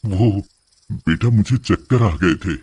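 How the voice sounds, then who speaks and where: A weary old father voiced by a man from a cartoon moral tale. His voice is husky and lowish, and he speaks meekly, pleading like someone frail and put-upon. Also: slow pace, a little mellow, dry recording. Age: older. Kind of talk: Hindi moral story cartoon voice acting.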